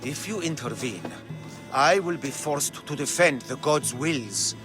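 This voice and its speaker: An actor playing a stern, fanatical priest, solemn and ominous, in a dramatic game cutscene. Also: male voice, mid-range voice, very animated, measured pace, over music. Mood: ominous warning. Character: stern, fanatical male priest, grimly resolved.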